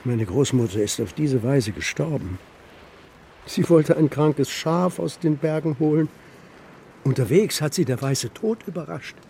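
A man's voice: on the high side, lively intonation, unhurried, vocal fry, dark tone, a little hiss or hum. An actor playing a stern, weary old grandfather, a terse and grave herder, in a German children's radio drama. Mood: grave, remembering.

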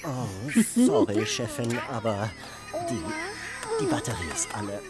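A woman playing an obedient, bumbling security henchman in a children's radio play, excitable and servile. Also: very deep voice, measured pace, music in the background, full character voice. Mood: sheepish, servile.

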